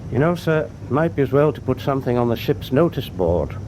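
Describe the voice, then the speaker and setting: An actor playing an earnest, deferential ship's doctor in a wartime radio drama, polite and matter-of-fact. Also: male voice, medium pitch, very animated, a little fast, background noise, lightly acted.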